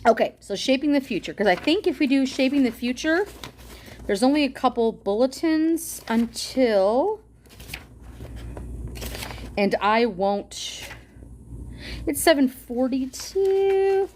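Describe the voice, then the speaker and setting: Loud woman streamer reading stuff out, gets super excited, lively voice jumping around. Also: high voice, slow, pauses often, slight background noise. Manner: excitable, gasps and squeals at viewer gifts, swears casually. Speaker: livestream host reading a document aloud. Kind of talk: casual livestream chat and reading aloud.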